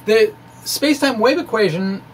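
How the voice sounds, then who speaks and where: A man giving a dramatic, conversational reading as a physics explainer, with wry asides and a halting delivery. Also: high-pitched, lively intonation, slight background noise, rough recording. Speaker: amateur physics presenter.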